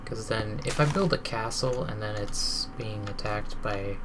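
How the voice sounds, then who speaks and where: A hoarse young man thinking aloud with hesitation while streaming a game, describing his building plans. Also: on the low side, measured pace. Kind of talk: casual gameplay commentary. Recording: loud background hiss and keyboard typing.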